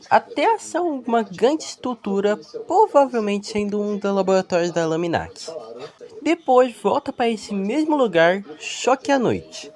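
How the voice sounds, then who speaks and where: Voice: medium pitch, darkish tone. A narrator with a Brazilian accent giving a casual, explanatory commentary voice-over as a video creator.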